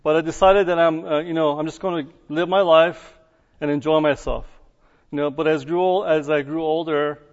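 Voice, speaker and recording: Halting man in an echoey hall. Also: fairly high pitch, fairly even intonation. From Iran. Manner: halting, heavy with fillers and restarts, light chuckles. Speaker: speaker sharing his conversion testimony. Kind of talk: personal testimony talk to an audience. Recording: echoey hall, dull lo-fi sound.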